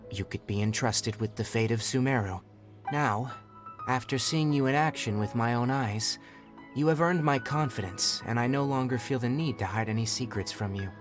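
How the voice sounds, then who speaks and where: An actor playing a composed, knowledgeable young male forest ranger in a video game, speaking in an articulate, measured and formal way. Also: medium pitch, natural voice. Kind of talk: scripted video game voice acting. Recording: background music under the voice. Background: game music.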